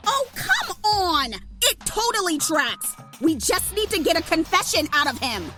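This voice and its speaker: A woman plays a fiery young woman in an audio drama, very high and sharp. She is brash and accusing, her pitch leaping as she demands answers. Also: sing-song, unhurried, soft background music, full character voice. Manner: brash, forceful, shouting in exasperation. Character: fierce young woman, hot-tempered, accusing, strong, kind at heart.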